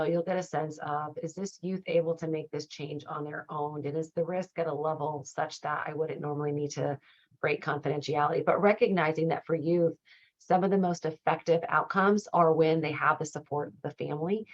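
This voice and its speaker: A woman with a low, slightly raspy voice that is starting to go, leading a training session. She explains in a measured, supportive, conversational way. The audio is dull and a bit muffled. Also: steady tone, a little mellow. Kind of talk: conversational slide presentation.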